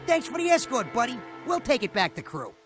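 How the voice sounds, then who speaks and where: Squeaky cocky goon. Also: male voice, huge pitch swings, brisk, heavily acted. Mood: excited. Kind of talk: video game cutscene acting. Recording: loud music behind the voice.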